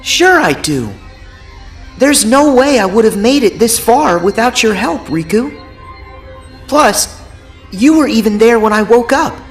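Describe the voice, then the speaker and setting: A man's voice: extremely high pitch, animated, a little slow, pauses often, heavily acted. An actor playing a cheerful, earnest teenage boy hero in video game cutscenes, talking in an upbeat, eager way. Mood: grateful. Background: faint game music.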